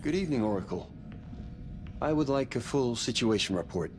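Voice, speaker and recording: An actor playing a steady, by-the-book spaceship crewman in a sci-fi game, measured and procedural. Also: mid-range voice, noisy background, lightly acted. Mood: focused, serious. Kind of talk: video game voice acting, sci-fi horror.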